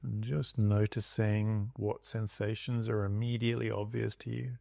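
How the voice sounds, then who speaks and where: Guy teaching meditation, calm n unhurried pauses, lots of vocal fry, pretty dry recording. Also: lowish voice, no echo. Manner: calm, soft-spoken instruction with long pauses. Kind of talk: guided body scan meditation.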